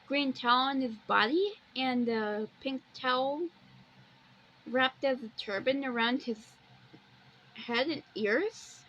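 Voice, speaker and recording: Slow, very high-pitched halting woman. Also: a bit bright. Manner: halting, rambling, repeats phrases. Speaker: video host. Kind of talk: unscripted picture-by-picture commentary. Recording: dull lo-fi recording with background noise.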